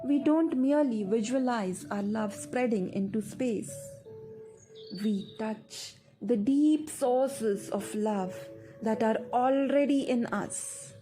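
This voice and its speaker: A woman with an Indian accent narrates a scripted guided meditation in measured, deliberate phrases with pauses. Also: a little high, dark tone, rough recording. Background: music.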